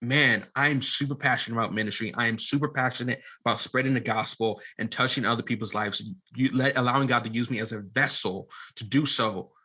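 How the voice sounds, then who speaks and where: A man's voice: mid-range voice, a little fast, hardly any pauses, sharp tone. A man speaking as a podcast guest, candid and earnest, often qualifying and circling back on his own points. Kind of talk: candid conversational interview.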